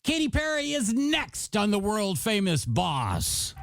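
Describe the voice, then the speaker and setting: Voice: medium pitch, wildly swooping pitch, takes it slowly. A radio DJ with upbeat patter and playful, chatty asides between songs. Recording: music under the voice.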